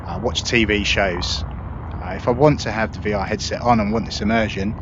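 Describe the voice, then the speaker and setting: A man hosting a gaming video, giving relaxed, self-deprecating gameplay commentary. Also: on the low side, normal speed. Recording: lo-fi with clear background noise.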